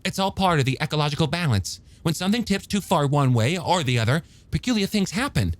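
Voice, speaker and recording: A man's voice: a little high, expressive, fast talker, pitched above the performer's own voice, natural voice. A man playing an eager, bookish young male scientist in an audiobook, stammering a little as he explains things emphatically and testily. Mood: animated, explaining. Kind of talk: audiobook character dialogue.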